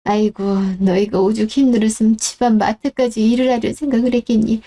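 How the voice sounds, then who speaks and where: A woman voicing a gentle, self-effacing elderly mother in a radio drama who speaks in a soft, apologetic, consoling way. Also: a little high, steady tone, fairly quick, natural voice. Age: older.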